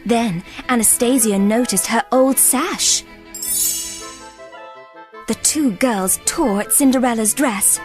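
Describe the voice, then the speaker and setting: A woman narrating a scripted children's story in an expressive, dramatic way. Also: a little high, slow, pauses often, soft background music.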